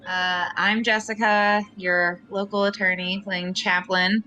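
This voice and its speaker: A woman's voice: medium pitch, flat delivery, measured pace, bright, forceful tone, slight background noise. A woman playing a tabletop game, chatting casually in a blunt, sweary, playful way. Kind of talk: casual chat.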